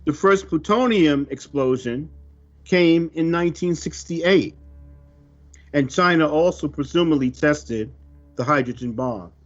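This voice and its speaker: A man reading a scripted religious report aloud in a solemn, deliberate, emphatic manner. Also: a little high. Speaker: religious reader of a prophecy report. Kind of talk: scripted narration, read aloud. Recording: dull lo-fi audio under music. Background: music.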